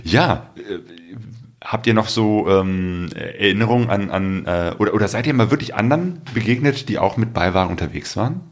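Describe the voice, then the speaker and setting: A man with a deep voice acts as podcast host. He chats informally and feels his way toward each question with hesitant, searching phrasing. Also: lively intonation, normal speed. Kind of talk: casual interview chat.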